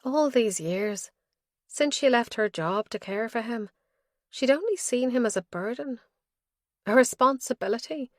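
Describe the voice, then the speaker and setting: A woman's voice: fairly high pitch, animated, measured pace, no echo, clean studio sound. A woman reading scripted narration as the narrator of a mystery audiobook.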